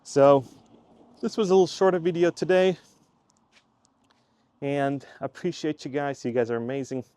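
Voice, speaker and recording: A man's voice: on the high side, steady tone, normal speed, pauses often, warm tone, no echo. A man from Switzerland who runs a YouTube channel, talking casually to the camera in a chatty, rambling way and repeating himself for emphasis. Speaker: YouTube creator.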